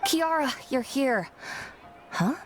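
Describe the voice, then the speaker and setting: A woman's voice: high voice, animated, slow, soft background music. A woman voicing a friendly, polite, businesslike shop owner in a video game.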